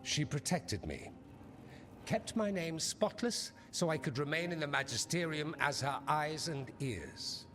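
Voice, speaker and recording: A man's voice: fairly high pitch, expressive, a little slow, fairly bright tone, slight background noise. A man playing a suave, witty, self-assured mage in a fantasy game, with a dry, sardonic, theatrical delivery. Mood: assured, teasing. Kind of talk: dramatic game dialogue. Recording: reverberant hall, music underneath.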